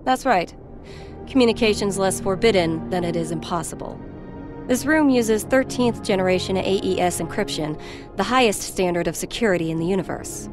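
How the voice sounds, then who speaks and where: A woman plays a brisk, skeptical government aide in an animated game. She is formal and matter-of-fact, all business. Music sits lightly behind her voice. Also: medium pitch, lightly acted. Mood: dry, official. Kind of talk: dubbed animated game cutscene acting.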